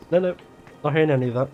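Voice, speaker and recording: A man's voice: medium pitch, muffled recording. A man giving casual, chatty and reactive let's play commentary as a game streamer.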